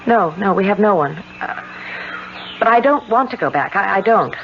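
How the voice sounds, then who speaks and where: A woman's voice: medium pitch, animated, normal speed, lightly acted. Earnest, emotional young woman with a bitter streak played by an actress, seventies radio drama, dull noisy broadcast sound. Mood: bitter, hesitant. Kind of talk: 1970s radio drama acting.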